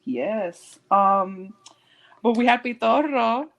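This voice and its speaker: A woman's voice: a little high, takes it slowly, vocal fry, fairly bright tone, highs cut off. A woman co-hosting a casual podcast, chatty and slangy, filling her talk with "like" and laughing often. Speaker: podcast co-host. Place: home in South Florida.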